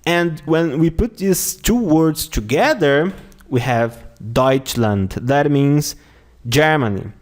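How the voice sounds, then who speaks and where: A man with a Brazilian accent teaching an online lesson, going slowly, repeating words and often checking with "okay?". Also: fairly high pitch. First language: Portuguese. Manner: slow, repeats words and phrases often, says "okay?" a lot. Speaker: language teacher.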